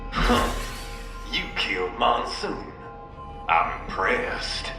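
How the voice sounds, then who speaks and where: A man's voice: medium pitch, big pitch swings, extremely slow, gravelly, heavily acted. A man voicing a smug, mocking villain in a video game, drawling his taunts. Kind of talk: dramatic video game voice acting. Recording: echoey, distant, under loud music. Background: loud music.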